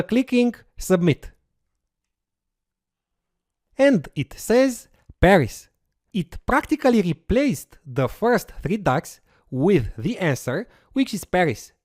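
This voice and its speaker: An instructor explains step by step, pitch rising and falling a lot. The voice is dry and close, with no room sound. Now and then there is a short "uh" while the instructor works through each step. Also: sing-song, slow, a little mellow, no echo. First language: Romanian. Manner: explanatory, walks through steps with occasional fillers. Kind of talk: recorded course lecture with screen demo.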